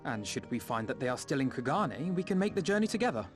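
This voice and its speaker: A man's voice: mid-range voice, very animated, quick pace, faint music behind, natural voice. A man playing an earnest, resolute adventurer in a video game, speaking articulately and decisively. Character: earnest, resolute male adventurer proposing a plan. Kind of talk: video game character acting.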